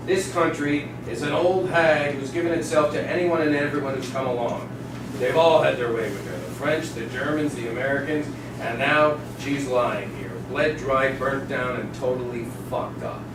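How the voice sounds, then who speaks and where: A man's voice: mid-range voice, steady tone, normal speed, natural voice. A man playing a crude, domineering oil prospector boss, blunt and commanding, in a staged dramatic reading. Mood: contemptuous. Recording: echoing stage, distant mic, noisy.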